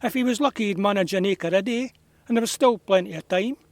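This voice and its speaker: A man plays an older Scottish beekeeper in a naturalistic audio drama. His voice sits high for a man. He is folksy and grumbling, stubborn but wistful, and the sound is close and dry. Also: quick pace, dry recording. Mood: indignant. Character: gruff, wistful old male beekeeper, stubborn and doting.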